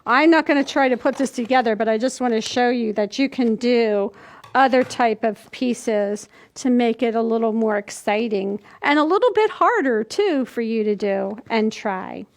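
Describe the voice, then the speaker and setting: A woman's voice: fairly high pitch, moderate pace. A woman in her sixties hosting a children's storytime, explaining things to young children in simple steps as she demonstrates. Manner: simple, step-by-step, demonstrating while speaking. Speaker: children's library storytime host. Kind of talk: instructional talk to young children. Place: indoors, small plain room. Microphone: camera a few feet away.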